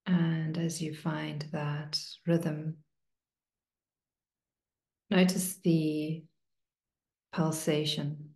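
A woman meditation teacher leading a guided meditation in a hushed, soothing manner, leaving long pauses between short phrases. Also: low-pitched, little pitch movement, vocal fry. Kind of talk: guided meditation, slow and spoken to listeners. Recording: roomy, echoing sound.

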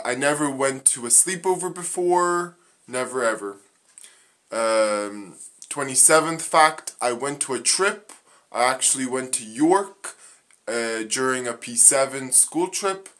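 A young man from Northern Ireland in a living room, reading off facts about himself into a phone camera, halting with many ums and uhs. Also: medium pitch, fairly bright tone. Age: young adult. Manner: halting, frequent ums and uhs, slow and plain. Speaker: vlogger. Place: small living room indoors. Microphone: phone camera at arm's length.

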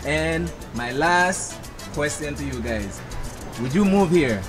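Young male street interviewer, upbeat slangy host, fairly high voice, music running underneath. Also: animated, slow pace. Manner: upbeat, slangy, laughs often. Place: sunny beach outdoors.

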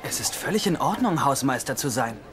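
An actor playing an eager, earnest, naive young doctor in a TV comedy dub. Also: male voice, medium pitch, very animated, brisk, music in the background, lightly acted. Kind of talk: German-dubbed TV comedy acting and narration.

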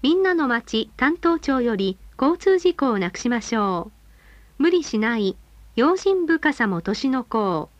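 A woman reading scripted bus stop announcements in a polite, formal and measured way. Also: fairly high pitch, big pitch swings, no echo, muffled recording.

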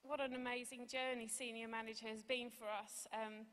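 A woman's voice: on the high side, level tone, moderate pace, a bit bright. A woman in her forties giving an earnest, conversational testimonial speech from a stage into a handheld microphone. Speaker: award recipient giving a testimonial. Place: large auditorium stage. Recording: echoey hall sound over PA.

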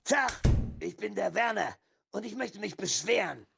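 Creaky, high-pitched grumpy old man. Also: lively intonation, moderate pace, music in the background, full character voice. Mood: grumpy, indignant. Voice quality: creaky, husky. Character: grumpy, demanding old man with a grim face. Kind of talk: radio play acting.

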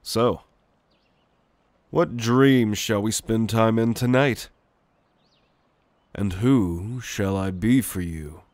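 A man playing a gentle shadowy sleep demon in an ASMR roleplay, speaking softly and haltingly to soothe the listener. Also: lowish voice, dry recording. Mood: reassuring, inviting.

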